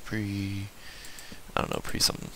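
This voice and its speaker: A man's voice: on the low side, completely flat delivery, normal speed, sharp tone. Southern US man rambling through gameplay. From southern United States. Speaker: gaming video host. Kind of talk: casual unscripted gameplay commentary.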